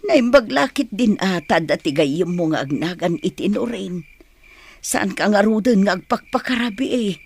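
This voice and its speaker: A woman's voice: mid-range voice, expressive, moderate pace, breathy edge. A woman with a northern Philippine accent playing a worried, fretful older village mother in a radio comedy drama.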